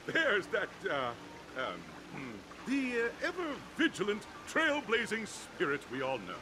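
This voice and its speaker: An actor playing a flamboyant, cheery game-show announcer in a video game, theatrical and grandly formal. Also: male voice, high-pitched, huge pitch swings, takes it slowly, noisy background, full character voice. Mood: amused, effusive. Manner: showy, chuckling, grandly formal. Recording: music behind the voice.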